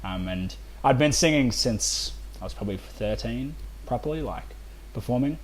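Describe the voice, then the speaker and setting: An Australian man in his twenties talks as a guest in a relaxed-format chat. His voice is mid-pitched and his phrasing is informal and conversational. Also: moderate pace, a little hiss or hum. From Melbourne, Australia. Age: 20s. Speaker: band vocalist, podcast guest. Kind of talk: casual chat.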